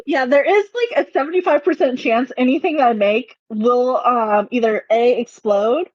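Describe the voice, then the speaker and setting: A woman talking through a tabletop roleplaying game, moving between casual discussion and roleplay, on rough audio. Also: high-pitched, normal speed, a little hiss or hum. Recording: dull, dry, rough online-session audio.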